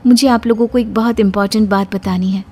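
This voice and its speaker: A woman narrator voicing a bold young wife in a story, pointed, deliberate and confrontational. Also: mid-range voice, fairly even intonation, darkish tone, husky, close to an everyday voice. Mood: serious. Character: bold young wife calmly taunting a guilty man. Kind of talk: dramatized story dialogue. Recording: music bed under the voice.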